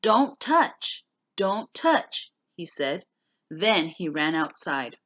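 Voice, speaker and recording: Low-pitched woman teacher, dry close sound. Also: big pitch swings, drawn-out words, a bit bright, dry recording. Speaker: teacher reading a class novel aloud. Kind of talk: casual spoken recap and story read-aloud for students.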